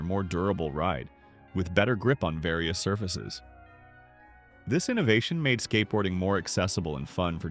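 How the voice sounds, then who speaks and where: A man reading scripted documentary narration in a measured, polished storytelling manner. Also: lowish voice.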